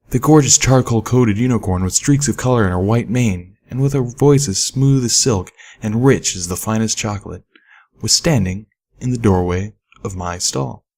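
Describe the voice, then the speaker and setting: Narrator, male, lowish register. Scripted first-person fantasy audiobook read. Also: a little mellow. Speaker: fan fiction audiobook narrator.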